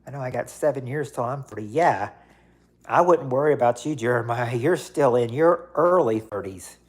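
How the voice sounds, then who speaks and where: A man's voice: medium pitch, expressive, normal speed. A man in his late thirties chatting casually on a livestream, rambling and repeating himself with lots of fillers. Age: 30s. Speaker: livestream host. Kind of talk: casual chat reading viewer comments.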